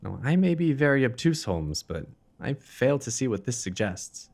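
Man acting an animated, puzzled detective's companion. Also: mid-range voice, moderate pace, vocal fry. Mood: puzzled, incredulous. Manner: questioning, incredulous. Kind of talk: audio drama acting.

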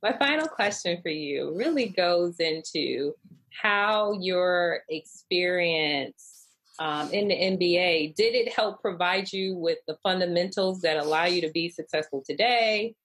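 A woman hosting a podcast interview, giving brief reactions and asking measured questions. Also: fairly low pitch, animated, fairly bright tone, no room sound. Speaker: podcast host.

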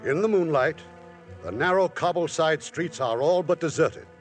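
A man's voice: fairly high pitch, normal speed, faint music behind. A man hosting an old radio theatre show, introducing the play and reading the sponsor's message in a polished, promotional manner. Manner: smooth, promotional, lively delivery. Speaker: producer and host. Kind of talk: formal 1950s radio introduction.

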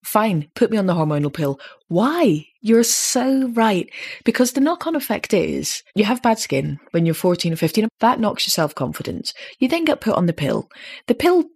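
A woman in her late thirties with a slightly deep voice, chatting casually as a podcast host and growing animated when she makes a point. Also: moderate pace, husky. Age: 30s. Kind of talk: casual chat.

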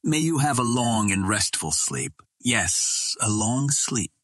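A man voicing a formal, smoothly polite old Martian with hidden treachery, in an audiobook. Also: mid-range voice, expressive, takes it slowly, a bit bright, dry recording. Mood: falsely kind farewell. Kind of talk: audiobook character dialogue.

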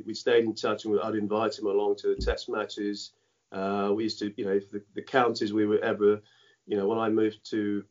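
A middle-aged man who grew up in Dominica, speaking patois as a first language, tells stories from his past in a halting, reflective way, full of "you know" and restarts. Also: fairly low pitch, darkish tone. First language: Dominican French patois. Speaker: former cricketer, guest. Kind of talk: reflective interview storytelling. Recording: dull lo-fi sound.

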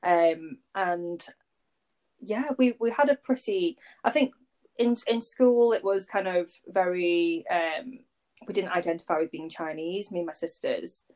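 A woman's voice: on the low side, a little slow, a little mellow. A woman, chatting as a podcast guest in a rambling, conversational way full of fillers. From Warrington, England. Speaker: podcast guest, agency founder. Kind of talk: casual conversational interview.

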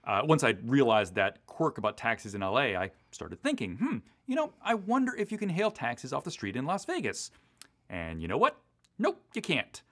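A man's voice: medium pitch, huge pitch swings, normal speed, a bit bright. A man from the US East Coast talking casually and in detail as a writer being interviewed, in an enthusiastic, digressive way. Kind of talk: casual, detailed behind-the-scenes chat.

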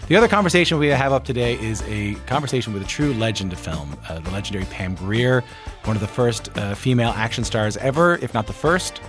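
A man hosting a podcast, reading a relaxed, conversational outro. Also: lowish voice, fast talker. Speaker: podcast host. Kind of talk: scripted outro narration. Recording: guitar music underneath.

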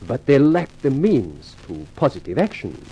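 A smooth continental racketeer from a 1950s radio mystery. His speech is formal and urbane, with swooping pitch over a soft, dusky, slightly rough tone. He stays coolly courteous while he schemes. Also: wildly swooping pitch, exaggerated voice, low-quality recording. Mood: coolly explanatory. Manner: formal, measured, urbane phrasing. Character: suave scheming racketeer, European adagio dancer, coolly courteous. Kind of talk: 1950s radio drama acting.

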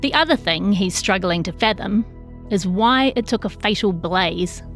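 A woman's voice: mid-range voice, expressive, a little slow, music in the background. A woman with a New Zealand accent reading a scripted news feature as a journalist. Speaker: journalist narrating a feature story. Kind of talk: scripted narration.

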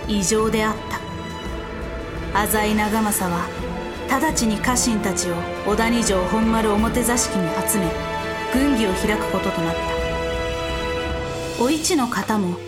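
A woman narrating a Japanese historical drama from a script in a solemn, deliberate storytelling manner. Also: medium pitch, over loud music, close to an everyday voice.

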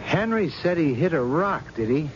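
An actor playing a responsible, exasperated family friend with an earnest, measured manner in a 1970s radio drama. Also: male voice, on the high side, very animated, over loud music. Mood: concerned. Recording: muffled old radio recording, faint noise.

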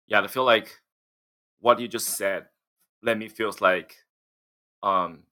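A man's voice: fairly low pitch, fairly even intonation, slow, sharp tone. A man adding brief, reflective remarks in a casual interview conversation.

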